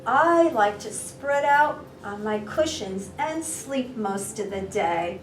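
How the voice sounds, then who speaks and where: An apologetic, sleepy storybook badger, put on by a woman reader, slow and high. The words are drawn out with regret, heard in an echoey room. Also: lively intonation, distant mic, slight background noise. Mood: regretful, friendly. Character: apologetic, sleepy badger who refuses to share his house. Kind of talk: children's storybook reading.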